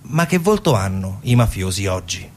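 A man with a husky voice giving scripted dramatic narration for a theatre piece. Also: deep voice, sing-song, normal speed.

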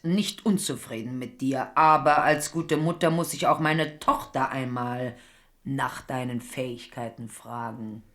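A woman's voice: a bass voice, steady tone, unhurried, fairly bright tone. An actress playing a sly, condescending, menacing older queen mother in a German radio drama, with needling delivery.

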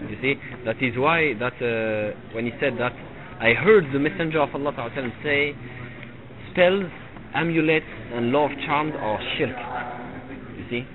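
A middle-aged man gives a religious lesson at an ordinary pitch, stumbling over words and checking in with his listeners. The sound is narrow and phone-like. A room full of people murmurs clearly behind him. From Tunisia. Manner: halting, repeats himself, often asks "you see?". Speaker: religious teacher. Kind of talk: religious lesson to a live audience. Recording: phone-like lo-fi recording with crowd noise. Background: listeners gathered in the room.

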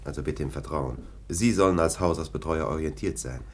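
An actor playing a scornful, domineering police lieutenant in a German radio play, with a sardonic, dismissive manner. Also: male voice, very deep voice, fairly quick. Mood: confidential, sardonic.

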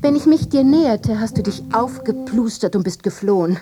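An actress playing a possessive, mocking princess in a literary radio play, with a theatrical manner. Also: female voice, fairly high pitch, expressive, normal speed, close to an everyday voice. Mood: scornful, reproachful. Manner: theatrical, swooping between scorn and hushed musing. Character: possessive, mocking princess, wife of a fallen nobleman.

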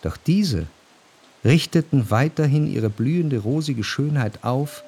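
An actor playing a nostalgic gentleman narrator in a literary radio drama, recalling his past in contemplative, lyrical, measured phrases. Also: mid-range voice, animated. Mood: wistful, contemplative. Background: faint instrumental music.

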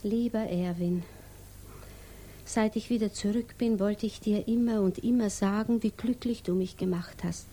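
An actress playing a lovesick, restless young widow who thinks aloud intimately in a 1950s radio drama. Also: female voice, mid-range voice, fairly even intonation, moderate pace, warm tone, a little hiss or hum, lightly acted. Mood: yearning. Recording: 1950s radio recording, music behind.

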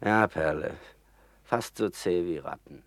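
A man's voice: deep voice, a little slow, creaky, a bit bright, dry recording, full character voice. A man playing a soothing but scornful companion in a German radio play, reassuring and sardonic.

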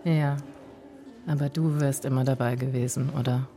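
An actress playing a self-assured, provocative film star in a German radio drama, teasing and cool, sometimes near a whisper. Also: female voice, a bass voice, fairly even intonation, normal speed, warm tone, a little hiss or hum. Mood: worldly, defiant.

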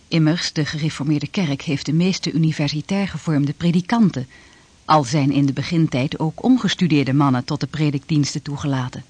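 Dry-voiced narrator. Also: male voice, fairly high pitch, a little mellow, breathy edge, no echo. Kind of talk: scripted narration.

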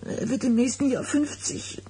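An actress playing an anxious, hurt pregnant wife in a German radio drama. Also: female voice, high-pitched, moderate pace, mellow, slight background noise, natural voice. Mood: troubled, hesitant.

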